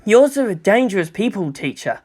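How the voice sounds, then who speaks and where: A man's voice: high voice, big pitch swings, a little fast, darkish tone, pitched above the performer's own voice. An earnest teenage boy in a sci-fi audiobook, voiced by a man, speaks with careful politeness. His wording is precise and technical, like a serious young student. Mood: earnest, explaining.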